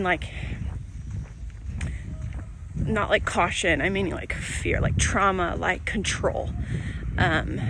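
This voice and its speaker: A woman's voice: medium pitch, noisy background. A woman vlogger talking to camera while walking, rambling earnestly and saying "like" a lot. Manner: rambling, earnest, heavy use of "like". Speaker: lifestyle vlogger. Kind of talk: casual walk-and-talk monologue. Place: walking outdoors near traffic.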